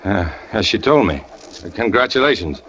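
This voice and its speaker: Casting: a deep, tormented circus flyer narrating, noir radio drama. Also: male voice, sing-song, normal speed, noisy background. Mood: terse. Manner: low, confessional, tense. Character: brooding jealous young male trapeze artist narrating. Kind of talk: 1940s radio acting. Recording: muffled old radio broadcast with music.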